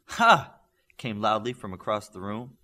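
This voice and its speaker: A man's voice: on the low side, very animated, moderate pace, a bit bright. A man reading scripted story narration as an audiobook narrator.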